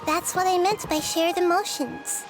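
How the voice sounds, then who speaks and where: A woman voicing a gentle, wise young girl deity in an anime game, composed, curious and explanatory. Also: extremely high pitch, a little slow, over music. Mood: calm, helpful. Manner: calm, thoughtful, explanatory.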